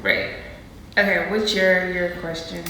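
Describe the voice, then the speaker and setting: A woman in her thirties co-hosting a casual couples Q&A vlog, animated and quick to exclaim. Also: lowish voice, creaky. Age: 30s. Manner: animated, laughing, dramatic exclamations. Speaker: vlogger and co-host. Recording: roomy echo with faint noise.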